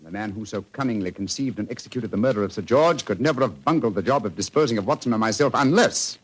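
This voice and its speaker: An actor playing a brilliant, commanding British gentleman detective with crisp, formal phrasing in an old-time radio drama. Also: on the low side, fast talker, low-quality recording. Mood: triumphant, explanatory. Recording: vintage radio recording, faint noise.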